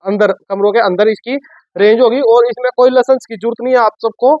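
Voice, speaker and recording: High-pitched man, casual product pitch. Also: fairly even intonation, fast talker, dry recording, muffled recording. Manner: informal, repetitive explaining. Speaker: shop presenter. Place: shop office with sofa, indoors.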